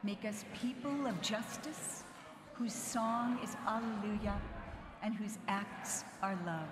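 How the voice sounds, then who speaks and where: A woman's voice: medium pitch, takes it slowly, background noise. Woman leading a liturgy in an echoey hall. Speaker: liturgy leader. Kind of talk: leading prayer and addressing congregation. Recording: echoey hall, music and crowd behind.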